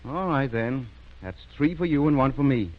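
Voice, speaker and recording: An actor playing a good-natured, mild small-town doctor in an unhurried 1930s radio drama style, on a muffled recording. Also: male voice, medium pitch, close to an everyday voice.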